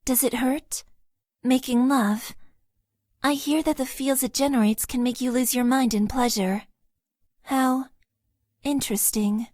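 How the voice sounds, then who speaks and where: A woman's voice: high-pitched, measured pace, pauses often, no room sound, studio quality. A stiff, shy mecha-pilot girl. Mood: nervous. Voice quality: stiff, overly formal phrasing. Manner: earnest, shy and deadpan, nervous stammers. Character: formal, awkward young female mecha pilot, emotionally inexperienced. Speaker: dating-game character. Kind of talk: game voice lines. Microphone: close studio mic, dry.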